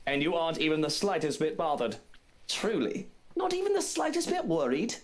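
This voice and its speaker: An actor voicing an irritable, wealthy young mansion master in a visual novel, sarcastic and dismissive. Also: male voice, fairly high pitch, sing-song, a little fast. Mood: irritated.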